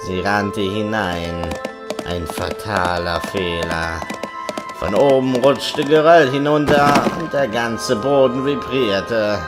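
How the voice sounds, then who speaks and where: Mischievous trickster storyteller, played by a man, slow theatrical delivery, suspenseful campfire horror tale, clear background music. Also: on the low side. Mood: ominous, suspenseful. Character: mischievous male chimera trickster telling spooky campfire tale. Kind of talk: scripted dramatic storytelling, audio play.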